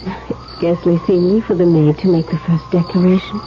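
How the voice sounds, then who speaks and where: A woman's voice: lowish voice, steady tone, a little fast, lightly acted. An actress playing a bold, self-possessed young lady, a romantic heroine speaking formally in a 1970s radio drama. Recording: muffled, noisy 1970s radio broadcast.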